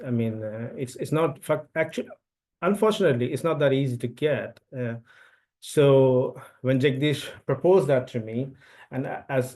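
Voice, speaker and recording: A man's voice: medium pitch, darkish tone. A man from India, a native Telugu speaker, giving an online webinar talk through a computer mic, with many fillers and restarts. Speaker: event speaker. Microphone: computer mic on video call.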